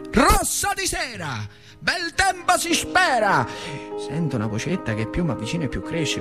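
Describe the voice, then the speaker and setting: An actor playing an old factory worker recalling his boyhood in a colloquial theatrical monologue, with a rural central Italian accent from northern Lazio. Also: high-pitched. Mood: excited, crying out. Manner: colloquial, vivid, swinging between hushed and cried-out delivery. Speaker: stage actor performing a monologue. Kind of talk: theatrical storytelling monologue for radio.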